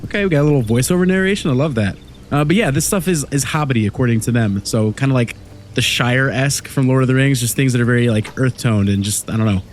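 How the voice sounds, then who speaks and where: A man's voice: mid-range voice, fast, slight background noise. A young man with a stuffy voice from a cold, chatting loosely through a horror game as a gaming host. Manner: rambling, easygoing, chatty. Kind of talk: casual let's play commentary. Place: home room at night.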